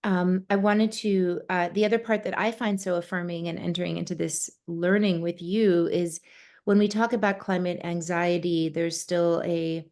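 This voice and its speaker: Female host, low-pitched with vocal fry, conversational and full of fillers. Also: fairly even intonation, normal speed, darkish tone. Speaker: host and advisor. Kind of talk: conversational interview.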